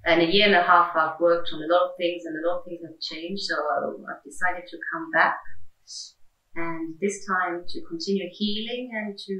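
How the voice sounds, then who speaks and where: A woman giving a measured, slightly hesitant interview testimonial. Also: on the low side, steady tone, sharp tone. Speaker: retreat participant. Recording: roomy, distant mic.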